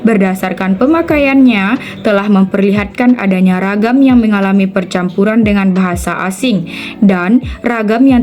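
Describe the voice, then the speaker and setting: A woman's voice: mid-range voice, a little mellow. A young university student, a woman, reading her class presentation aloud and listing points one by one. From Indonesia. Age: young adult.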